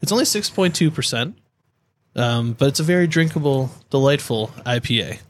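A man's voice: medium pitch, lively intonation, moderate pace, a little hiss or hum. A man co-hosting a podcast with playful, joking casual banter. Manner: jokey, playful. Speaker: podcast co-host.

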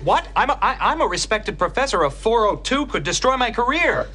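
Earnest sitcom dad, animated pitch. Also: male voice, fairly quick, husky, exaggerated voice. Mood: alarmed. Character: earnest professor dad, firm but awkward. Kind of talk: sitcom acting.

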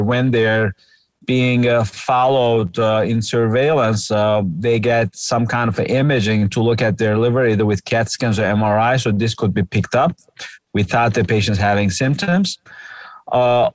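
A man's voice: mid-range voice, no room sound. A middle-aged man with a Turkish accent, a surgeon guest on a podcast, explaining at length with many "uh" fillers. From Turkey. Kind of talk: podcast interview.

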